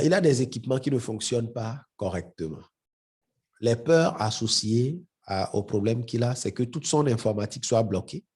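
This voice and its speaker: Middle-aged guy with a cold, husky dark voice, stumbles and repeats words. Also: mid-range voice. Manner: hesitant, repeats words often; addresses the audience directly. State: has a head cold. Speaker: webinar trainer. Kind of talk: live marketing webinar.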